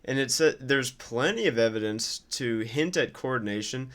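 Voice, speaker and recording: A man doing casual political reaction commentary, sardonic, incredulous and quick to swear. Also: mid-range voice, lively intonation, a bit bright. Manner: sardonic, incredulous, foul-mouthed. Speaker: streamer commenting on news clips.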